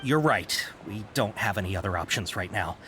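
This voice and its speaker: An eager, lively merchant. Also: male voice, lowish voice, moderate pace, a little hiss or hum. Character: eager male caravan merchant, friendly. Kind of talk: game voice acting. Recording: game audio with clear background music.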